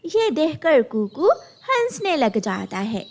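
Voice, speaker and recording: A woman narrates a children's cartoon tale in a high voice. Her delivery is slow, with lively rises and falls in pitch. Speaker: storyteller.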